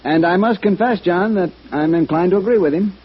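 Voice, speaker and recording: A man's voice: high-pitched, brisk, breathy edge. A man playing a fretful, hesitant insurance executive with an American accent and fussy manner in 1950s radio drama. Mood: doubtful, insistent. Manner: fussy, hesitant, stammering when flustered. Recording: dull, muffled old radio recording.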